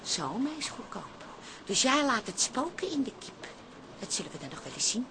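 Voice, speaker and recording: High, animated, bossy wife. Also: female voice, moderate pace. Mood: bossy, angry. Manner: scolding, emphatic. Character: bossy, exasperated wife on holiday, sly and scolding. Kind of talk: Dutch radio comedy drama acting. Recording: faint background ambience noise.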